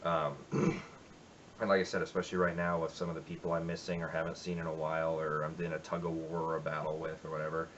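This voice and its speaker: Low-voiced man rambling about a song. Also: completely flat delivery, quick pace, fairly bright tone, highs cut off, a little hiss or hum. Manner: rambling, reflective, many fillers. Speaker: musician covering a song. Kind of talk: casual spoken intro to a cover. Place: home music room.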